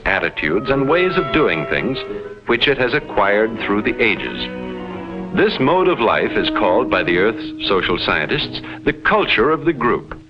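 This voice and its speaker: A man playing a detached alien explorer, narrating in a formal, measured 1950s educational film style with precise enunciation. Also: mid-range voice, lightly acted. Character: detached alien explorer reporting on Earth's inhabitants. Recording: dull vintage 1950s film soundtrack with music.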